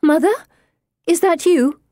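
A woman's voice: fairly high pitch, lively intonation, measured pace, darkish tone, no echo, clean studio sound, pitched above the performer's own voice. A woman voicing an anxious, earnest teenage girl in a storybook. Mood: pleading, testing.

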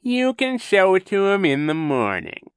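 Storybook villain sea captain, fake sweet n sneering, pitched up, swoops around. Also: male voice, big pitch swings, pushed and bright, no echo, studio quality, exaggerated voice. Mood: falsely soothing. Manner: falsely soothing, sneering, drawn-out delivery.

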